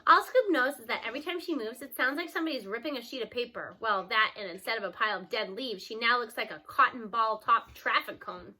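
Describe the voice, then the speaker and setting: A woman reading a novel aloud, expressive, with lively shifts in tone. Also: a little high, quick pace, hardly any pauses, rough recording. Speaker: reader.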